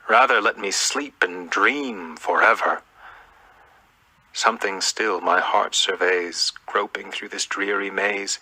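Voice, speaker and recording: A man recites poetry in a formal, declamatory style. His voice is on the low side yet intensely bright, and his pace is very slow. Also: slightly rough, no room sound, rough recording. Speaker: poetry reader.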